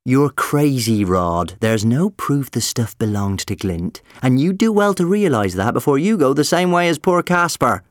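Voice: mid-range voice, animated, normal speed, no room sound, studio quality, voice pushed up, natural voice. A storyteller voicing a frank, sceptical woman who works in a pub, in an audiobook. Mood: sceptical, scolding.

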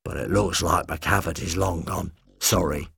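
A man's voice: vocal fry, a bit bright, breathy edge, no room sound, studio quality, full character voice. A man doing a regretful clawed beast. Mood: apologetic. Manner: low, slow, regretful. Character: huge clawed beast-man, apologetic and resigned.

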